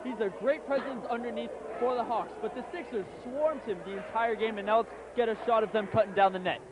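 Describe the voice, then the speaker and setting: A man with a very high voice gives steady color commentary on the championship game. He agrees with his partner and makes his points evenly. Clear background noise runs under the recording. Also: fairly quick, a crowd in the background. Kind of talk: amateur league courtside commentary. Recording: noisy outdoor recording.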